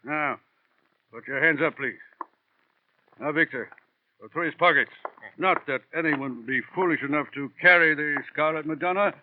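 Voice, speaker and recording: A pompous, courteous villain of vintage radio drama, played by a male actor, with a fairly high-pitched voice for a man. He talks in grand, florid sentences over a thin, phone-like old broadcast. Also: normal speed, long pauses, slight background noise, lightly acted. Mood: politely firm. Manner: florid, formal, long-winded diction. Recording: thin, band-limited vintage radio recording.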